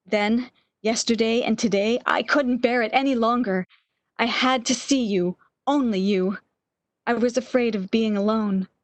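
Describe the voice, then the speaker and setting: A woman's voice: a little high, fairly even intonation, unhurried, no echo, natural voice. A woman playing a frail, fearful, lovesick woman, pleading emotionally in an amateur radio drama. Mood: yearning.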